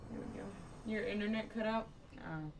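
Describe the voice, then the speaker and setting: A woman's voice: medium pitch, moderate pace, vocal fry, background noise. A woman giving casual, informal live commentary as she plays a video game, reacting aloud as she goes. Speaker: game streamer. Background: video game sounds.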